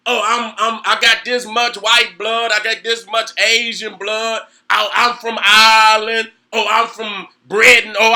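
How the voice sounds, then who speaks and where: A man's voice: very high-pitched, pushed and bright. A middle-aged man hosting his own show, delivering a heated, profane monologue full of rhetorical questions and repeated "you know what I'm saying.". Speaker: host.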